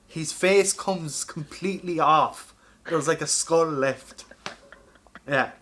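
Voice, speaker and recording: Irish guy, bit high voice, chatting about films on a podcast, swears loads, very informal. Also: takes it slowly, a bit bright. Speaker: podcast co-host. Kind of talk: casual film chat, animated storytelling.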